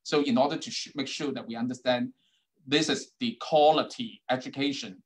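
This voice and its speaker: A middle-aged man with a Hong Kong accent, whose first languages are Cantonese and Putonghua, giving an online keynote talk over a video call, with many ums and uhs. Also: medium pitch. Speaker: keynote speaker, university dean.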